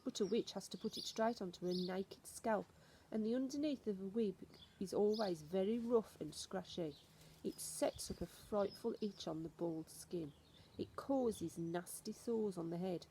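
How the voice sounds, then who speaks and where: Storybook grandmother, played by a woman reader: old, stern, ominous, snappish, with a soft-edged tone and slight noise on the recording. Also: mid-range voice, unhurried, close to an everyday voice. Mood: relishing, instructive. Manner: emphatic, instructive, snappish.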